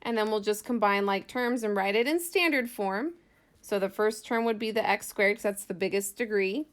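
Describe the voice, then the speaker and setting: A woman's voice: mid-range voice, moderate pace, clear, resonant tone. A woman math teacher giving an informal lesson, thinking aloud as she explains worked examples step by step in a casual way.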